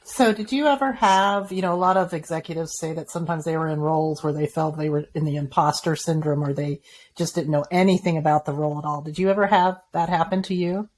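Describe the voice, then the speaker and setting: Lowish-voiced woman co-hosting an interview. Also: fast, a little mellow. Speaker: podcast co-host. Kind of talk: casual interview chat.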